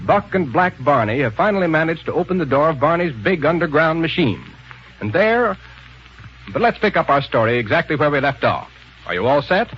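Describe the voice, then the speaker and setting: A man announces an old radio serial in an animated, swooping voice pitched a little high. The recording is muffled and very noisy. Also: a little fast.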